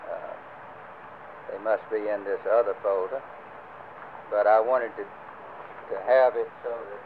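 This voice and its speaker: An older man leading a church group, talking casually with many pauses and fillers. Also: on the low side, steady tone. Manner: rambling, many fillers and restarts. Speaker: church class leader. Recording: lo-fi phone-like recording with faint hiss.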